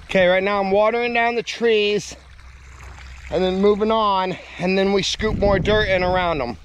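A man's voice: high voice, steady tone, normal speed. A man in his forties talking casually to his handheld camera outdoors. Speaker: vlogger and farm worker. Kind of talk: casual outdoor vlog narration. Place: outdoors on a farm and hillside. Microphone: handheld camera at arm's length. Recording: noisy handheld outdoor recording.

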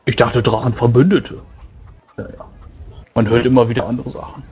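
A man's voice: medium pitch, flat delivery, moderate pace, a little mellow, highs cut off, natural voice. Man playing a blunt, impatient dwarf dragon hunter. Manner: blunt, dismissive, short answers. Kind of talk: tabletop roleplay in character.

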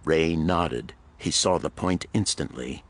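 Low-voiced man narrating a pulp thriller. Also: slow pace, husky. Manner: dramatic, suspenseful storytelling. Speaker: audiobook narrator.

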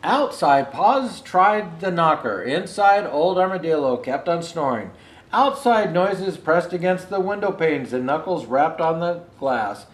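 An older man reading a children's picture book aloud as a storyteller, in an unhurried, expressive way. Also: a little high. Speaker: storyteller dressed as Santa Claus. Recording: roomy indoor echo.

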